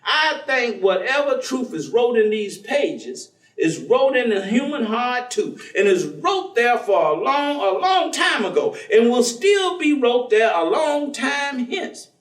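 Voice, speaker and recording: An actor playing a warm, devout, folksy man, teasing and preaching in a conversational staged reading. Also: very high voice, big pitch swings, a little slow, hardly any pauses, exaggerated voice. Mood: earnest, reflective. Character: warm, devout, folksy man, witty self-styled outlaw believer. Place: theatre stage. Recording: echoey stage recording from far off.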